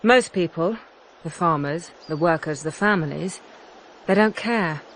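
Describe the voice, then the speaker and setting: An actress playing a grave, hopeful noblewoman ruler, narrating game cutscenes in a solemn, measured, earnest way. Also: fairly low pitch, a little hiss or hum. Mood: somber, grieving. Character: grave, hopeful noblewoman ruler rebuilding her war-torn land. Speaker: campaign narrator. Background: background music.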